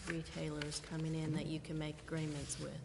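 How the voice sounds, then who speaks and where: A woman's voice: low voice, completely flat delivery, fairly quick, creaky. A woman putting questions as a committee member at a public meeting, thinking them through as she speaks. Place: city council chamber. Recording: reverberant meeting room, faint noise.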